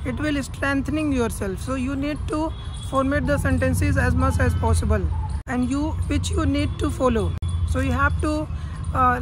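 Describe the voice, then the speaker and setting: A high-pitched woman gives a spoken lesson, circling back over the same points with lots of "uh" and restarted words. Clear music plays under her voice the whole time. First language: not English. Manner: repetitive, earnest, many fillers and restarts. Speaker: English teacher. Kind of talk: spoken English lesson monologue.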